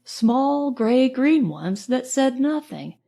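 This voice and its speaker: A woman narrates an audiobook with a somewhat high voice. Her reading is scripted literary storytelling in the first person. She keeps it measured and expressive. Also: gravelly.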